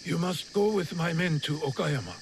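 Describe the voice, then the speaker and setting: A man's voice: on the high side, normal speed, noisy background, lightly acted. A man playing a solemn, troubled feudal lord and father in a game drama, restrained in manner. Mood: grave, pleading. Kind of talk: dramatic game cutscene acting.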